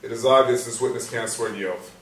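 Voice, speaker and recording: An actor in a staged play reading playing a formal, commanding courtroom official, recorded in an echoey room. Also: male voice, medium pitch, fast talker, fairly bright tone, natural voice. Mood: formal, firm. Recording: echoey room, distant mic, faint noise.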